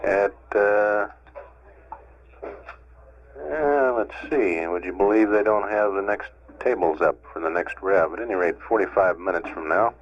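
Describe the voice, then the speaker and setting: A man gives live spaceflight status updates. He sounds official yet slightly informal, pausing as he goes. The sound is a dull, lo-fi archival radio transmission. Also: on the low side. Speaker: mission control public affairs announcer.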